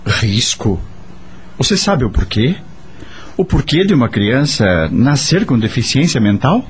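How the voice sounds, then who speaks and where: A bitter working man in a radio soap, brooding and curt. His voice is husky and rough at the edges, swinging in pitch as he questions things. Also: big pitch swings, unhurried, exaggerated voice. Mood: defensive. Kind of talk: radio drama acting.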